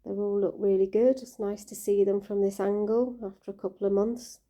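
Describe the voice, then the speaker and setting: A woman with a croaky voice, gently talking through caring for her koi in a casual vlog. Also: mid-range voice, steady tone, a little fast. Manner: gentle, caring, chatty with fillers. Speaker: koi keeper vlogger.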